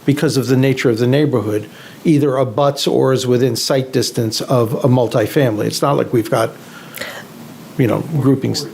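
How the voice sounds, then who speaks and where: A man's voice: mid-range voice, steady tone, moderate pace, gravelly, darkish tone. A man chairing a town board meeting, easygoing and conversational.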